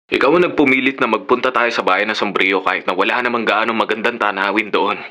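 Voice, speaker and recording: Sassy, bright-voiced young Filipino best friend. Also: male voice, on the low side, fast talker. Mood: casual, practical. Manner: sassy, mixes Tagalog with English. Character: flamboyant, teasing male best friend, young adult, playful. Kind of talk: radio drama acting.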